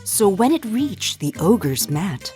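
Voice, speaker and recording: A woman reading a children's picture book aloud as storyteller, in a theatrical, deliberate and expressive way. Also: medium pitch, soft background music.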